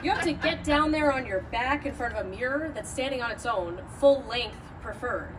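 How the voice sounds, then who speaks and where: A woman's voice: fairly high pitch, expressive, normal speed, natural voice. A woman performing comic scripted narration as a wry teller of other women's interviews. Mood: wry, exasperated. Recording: distant mic, noisy live venue.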